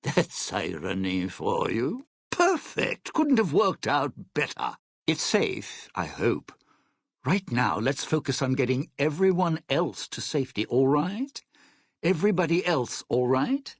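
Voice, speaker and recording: Sly male game schemer, gravelly fry, unhurried delivery, wry and smooth-talking, bitter, theatrical. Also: medium pitch, huge pitch swings, no room sound, studio quality, exaggerated voice. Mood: guarded, scheming. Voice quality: raspy, gravelly. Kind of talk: video game character dialogue.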